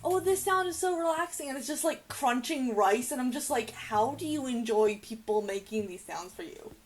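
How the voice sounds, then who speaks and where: A woman's voice: high-pitched, animated, normal speed, faint music behind. A young adult woman chatting casually as a co-host, with dry, mock-exasperated banter. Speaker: co-host and script writer. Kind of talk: casual chat.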